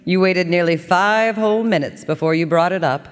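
A woman's voice: fairly low pitch, normal speed, lightly acted. A woman playing a haughty, sly house cat with arch, theatrical indignation in a live radio drama. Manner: theatrical, arch, florid insults. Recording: echoey concert hall.

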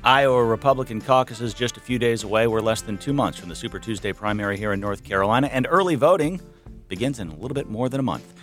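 A man hosting a public radio news roundup from a studio, relaxed with joking asides. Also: medium pitch, fast, soft background music. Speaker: radio show host. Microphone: studio microphone.

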